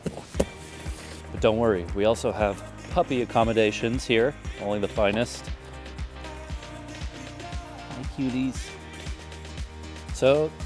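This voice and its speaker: A man in his thirties filming a casual travel vlog outdoors on a phone held at arm's length, with upbeat, joking tour-guide patter. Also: mid-range voice. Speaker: vlogger. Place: outdoors on a forested coast. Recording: music playing behind.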